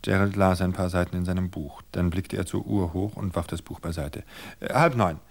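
A male narrator reads a suspense tale in a steady, measured manner. His voice sits on the low side with a distinct vocal fry. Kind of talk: scripted audiobook narration.

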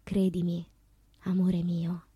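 An actress playing a sensual, unashamed woman poet in a deliberate, intimate theatrical monologue about her inner life. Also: lowish voice, monotone, warm tone, slightly rough, dry recording, exaggerated voice. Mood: confessional, languid. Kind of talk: theatrical literary monologue with sung passages.

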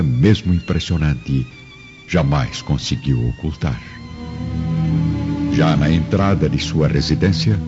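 A Brazilian man giving dramatic scripted narration for a radio drama. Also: sits low. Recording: dull lo-fi audio under clear music. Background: music.

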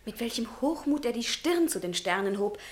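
A woman plays a fiery, romantic young student in a radio play, her voice high and clear. She speaks with fervent, dreamy conviction. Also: lively intonation, brisk, natural voice.

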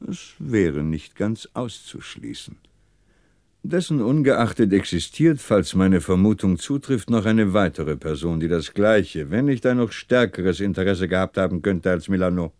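An actor playing a shrewd, composed French police commissioner in a German radio crime drama, deliberate and dryly ironic. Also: male voice, low voice, animated, darkish tone. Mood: cool, insinuating.

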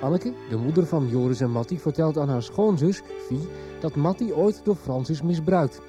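A man's voice: fairly high pitch, moderate pace. Male radio drama narrator, scripted recap delivery with music underneath.

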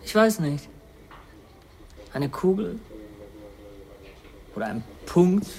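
A young actor in a radio play playing an earnest, searching youth, pensive, halting and intense. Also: male voice, high voice, big pitch swings, darkish tone, exaggerated voice.

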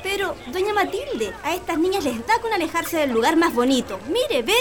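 A woman's voice: extremely high pitch, big pitch swings, normal speed, background noise. A woman playing a bossy, grumbling hacienda servant with a Chilean accent in a radio drama.

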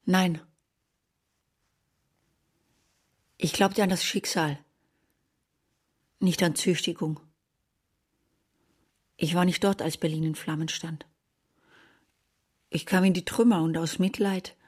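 A woman's voice: lowish voice, fairly even intonation, a little slow, long pauses, a little mellow, breathy edge. An actress playing a bitter, sardonic woman survivor in a literary radio drama, speaking in pointed declarations.

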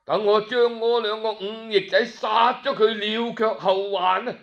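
A man's voice: high voice, fairly even intonation, a little slow, gravelly, fairly bright tone, muffled recording, voice pushed up, full character voice. A man voicing a hot-tempered Cantonese nobleman in an audio storybook, blunt, indignant and demanding, enraged at his sons. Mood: vengeful fury.

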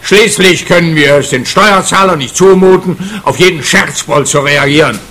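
A bureaucratic cop, with a high, sharp, bright voice. He talks pedantically and brushes people off with condescension, in radio comedy style. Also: moderate pace. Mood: dismissive. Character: officious, dismissive male police officer, bureaucratic. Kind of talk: radio crime comedy dialogue.

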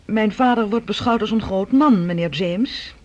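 An actress playing the earnest, formal adult daughter of a scientist in a radio drama, speaking persuasively. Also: female voice, medium pitch, moderate pace, a little mellow, natural voice. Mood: earnest, defensive. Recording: dull, lo-fi radio recording.

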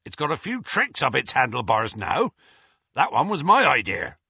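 A giant of a man, gentle and homely, talking in rustic, folksy speech, played by a male reader. The voice swings lively and forceful with a husky edge, recorded dry. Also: medium pitch, normal speed, no room sound, dull audio, pitched above the performer's own voice, exaggerated voice. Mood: eager homely.

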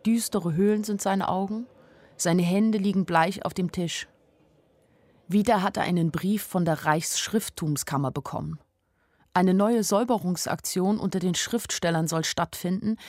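A young village woman narrating a radio drama. Her voice sits at a medium pitch, and she tells things plainly, with naive, wry observation. Music runs underneath the studio recording. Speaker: narrator and main character of a radio play. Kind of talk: first-person radio play narration in a literary adaptation.

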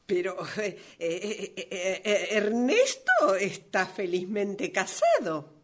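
Flustered Argentine woman novelist, played by an actress, slow-paced. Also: on the high side, sing-song. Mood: hesitant. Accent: Argentine Rioplatense. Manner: hesitant, stammering, protesting. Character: flustered, defensive woman novelist facing her own character. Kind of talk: radio play rehearsal acting.

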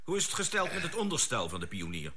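Bright-voiced, commanding spaceship captain. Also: male voice, a little high, wildly swooping pitch, fast talker, slightly rough, full character voice. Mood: businesslike. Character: composed, decisive male spaceship commander. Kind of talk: Dutch science fiction radio drama acting.

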